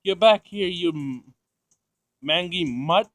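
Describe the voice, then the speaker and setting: A shameless, short-tempered dog owner from a visual novel, acted by a man reading aloud, scolding and exclamatory. The voice sits a bit high and the sound is dry. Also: takes it slowly, a bit bright, no echo. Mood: exasperated, scolding. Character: shameless, irritable perverted man walking his dog.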